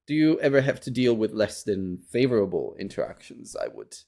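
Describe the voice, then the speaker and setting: A man hosting a podcast, interviewing in a casual chat with halting phrasing and repeated words. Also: lowish voice, animated, creaky, dark tone. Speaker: podcast host interviewing indie game developers.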